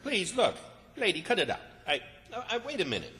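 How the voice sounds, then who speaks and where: A man's voice: high voice, huge pitch swings, brisk, sharp tone, heavily acted. A man playing a flustered, bossy rural Southern character in old-time radio comedy, folksy and exasperated. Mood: flustered, pleading. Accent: American South, rural. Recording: echoey hall, long reverb.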